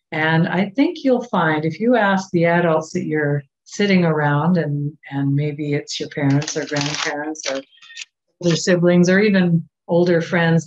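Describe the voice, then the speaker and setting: Canadian woman in her sixties, low voice, slow and gentle children's-time talk, dull muffled webcam sound. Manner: gentle, unhurried, coaxing questions to children. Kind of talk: children's story at a church service. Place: small office at home. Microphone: webcam on a video call.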